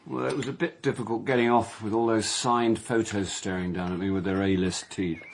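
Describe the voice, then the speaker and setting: An actor playing a roguish, hard-drinking, middle-aged British actor-sleuth in a radio comedy mystery, wry and cheeky. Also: male voice, on the low side, fairly even intonation, fairly quick, a little hiss or hum, close to an everyday voice. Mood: sheepish, chatty. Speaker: actor playing the lead and narrator. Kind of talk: radio comedy-mystery drama acting, with dry first-person narration.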